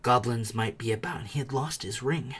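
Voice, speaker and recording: A man's voice: mid-range voice, fairly quick, a bit bright, breathy edge. A man reading a storybook aloud as a dramatic narrator, with theatrical, suspenseful delivery. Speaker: audiobook reader.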